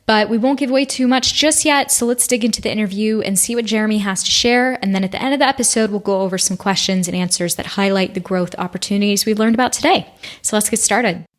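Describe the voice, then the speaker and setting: A woman's voice: medium pitch, fast talker. A woman hosting a business podcast, upbeat, laughing easily and asking loose, rambling questions. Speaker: podcast host. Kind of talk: podcast intro and interview.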